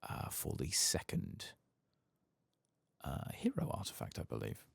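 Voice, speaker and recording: A man doing a soft-spoken ASMR game playthrough, murmuring musing asides and sometimes dropping toward a whisper. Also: low-pitched, huge pitch swings, unhurried, vocal fry, slight background noise. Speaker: gaming ASMR host.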